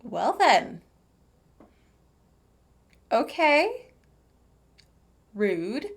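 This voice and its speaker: A woman's voice: a little high, sing-song, drawn-out words, breathy edge. A woman giving casual, playful, quippy commentary while playing through a game. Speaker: game streamer.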